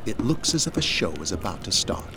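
A man's voice: low voice, big pitch swings, a little fast, noisy background. A man narrating an audio drama in a descriptive, dramatic scripted style.

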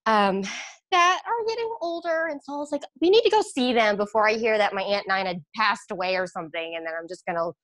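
A woman's voice: medium pitch, lively intonation, fast, no room sound. A woman guest, an aerial yoga instructor, chatting casually on a video call and filling her talk with "like" and laughter. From Philippines, raised in Pennsylvania. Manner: chatty, warm, many "like"s and laughs. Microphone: computer video call.

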